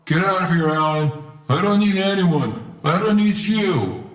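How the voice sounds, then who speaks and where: A man voicing a reckless, defensive young male roommate, insistent and excitable, in a dramatized story. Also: sits high, a little slow, voice pushed up. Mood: upset.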